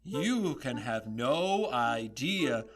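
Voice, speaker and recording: An actor playing a bossy, excitable mythical beast in an audio drama, with an emphatic delivery. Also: mid-range voice, extremely slow. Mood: explaining.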